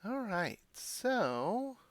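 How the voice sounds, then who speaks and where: A man's voice: sits high, very animated, extremely slow, no room sound. A man streaming a game, chatting casually and reading story text aloud in a self-deprecating, chatty way. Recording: background music under voice.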